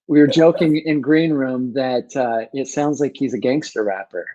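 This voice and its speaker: A man's voice: medium pitch, normal speed, warm tone, muffled recording. A man in his forties hosting an interview show over a video call from a room at home, upbeat and jokey. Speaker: podcast host. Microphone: earbuds on video call.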